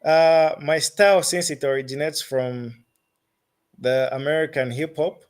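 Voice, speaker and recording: A man's voice: a little high, steady tone, clear, resonant tone. A man with a Zambian accent, a musician answering interview questions in an earnest, reflective way. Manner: earnest, reflective, unhurried with pauses. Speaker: musician guest.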